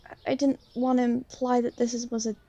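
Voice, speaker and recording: Shy, insecure young female vampire, played by a woman, for ASMR roleplay; mellow, creaky voice, halting and apologetic. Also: on the high side, level tone, dry recording, highs cut off. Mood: flustered, shy. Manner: hesitant, halting, soft-spoken, apologetic. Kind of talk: ASMR roleplay, intimate one-sided conversation.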